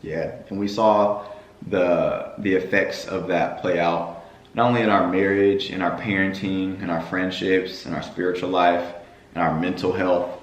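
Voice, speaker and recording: A young man with a hoarse, worn-out voice chatting casually on his family vlog, with a playful preacher-like cadence. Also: sits low, fairly even intonation, unhurried, echoey room. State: hoarse from screaming at games. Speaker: vlogger.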